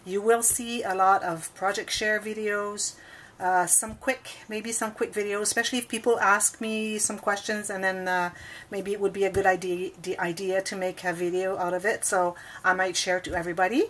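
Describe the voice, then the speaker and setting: A woman's voice: mid-range voice, flat delivery, moderate pace, fairly bright tone. A woman from Montreal with a Quebec French accent, a native French speaker, chatting casually through a scrapbooking tutorial with many fillers and restarts, recorded indoors at a table by the camera. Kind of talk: casual craft tutorial talk.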